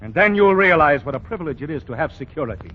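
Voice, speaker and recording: An actor playing an indignant, self-righteous department store boss who lectures emphatically in a 1940s radio comedy. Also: male voice, on the high side, animated, fast, slight background noise, close to an everyday voice. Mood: stern lecturing. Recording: dull lo-fi 1940 radio recording.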